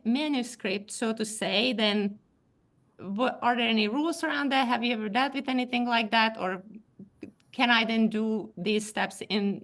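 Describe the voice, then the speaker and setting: A woman's voice: a little high, fairly bright tone. A woman whose first language is Hungarian asks careful, hedging questions about her book on a group call. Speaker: aspiring author.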